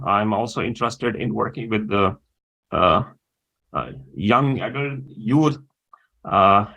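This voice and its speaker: Halting man, gravelly voice. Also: on the low side, a bit bright, low-quality recording. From Pakistan. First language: Urdu. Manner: halting, many pauses and fillers. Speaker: guest. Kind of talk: interview conversation.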